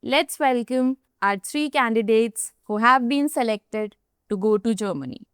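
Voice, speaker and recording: A woman's voice: on the high side, lively intonation, measured pace, a bit bright, clear, resonant tone, dry recording, studio quality. A woman hosting a podcast interview, encouraging and affirming her guests. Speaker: podcast host.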